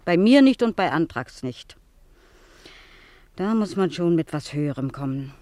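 An actress in a German radio play, playing the scheming, bossy wife of a poor donkey driver, insistent and worldly-wise. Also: female voice, lowish voice, expressive, unhurried, mellow, no room sound. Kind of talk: German radio play acting, dialogue with asides.

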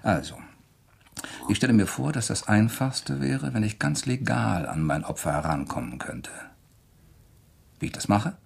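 Casting: low-voiced, husky writer, polite yet provoking, deliberate and insinuating, crime radio drama. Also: male voice, expressive, exaggerated voice. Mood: insinuating, intense. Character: male writer, probing and coolly insinuating murder theorist. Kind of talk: German crime radio play acting.

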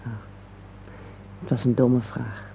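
An actress playing an anxious, doubtful but affectionate middle-aged wife in a radio play, halting as she questions. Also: rumbling low, flat delivery, creaky, full character voice. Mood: soft, questioning. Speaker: radio drama actor. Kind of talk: intimate radio play dialogue. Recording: muffled phone-like old radio recording, hissy.

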